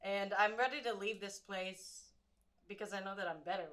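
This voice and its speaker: A woman playing in a tabletop game, her voice bright and sharp. She speaks in character with dry, cocky confidence. The talk is casual and unhurried. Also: mid-range voice, steady tone, slight background noise, low-quality recording. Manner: dry, self-assured, casual. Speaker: player.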